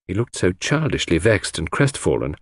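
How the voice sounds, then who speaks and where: A man's voice: sits low, very animated, normal speed, no room sound, clean studio sound. A man reading a classic mystery as scripted audiobook narration.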